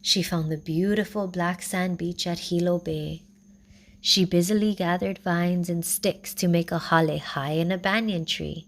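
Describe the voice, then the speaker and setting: Slow-reading woman storyteller. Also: on the low side. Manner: slow, gentle, even reading. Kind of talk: storybook read-aloud.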